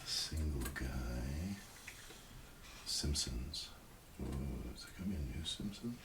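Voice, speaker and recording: A man doing a casual ASMR roleplay, murmuring and rambling in a low-key, unhurried way, sometimes near a whisper. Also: animated, far from the mic, heavy background noise.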